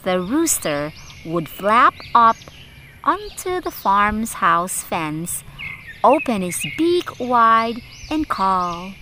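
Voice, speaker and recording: Very slow, animated woman storyteller. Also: medium pitch, a little hiss or hum. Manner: deliberate, sing-song, addressing children directly. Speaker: teacher reading a storybook aloud for children. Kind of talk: slow, animated read-aloud for young children. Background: music.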